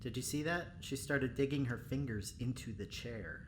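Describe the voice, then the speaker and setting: A man's voice: mid-range voice, moderate pace, a little hiss or hum, lightly acted. A man playing an anxious, well-meaning young lab assistant in an audio drama, with a hesitant, stammering manner. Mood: eager.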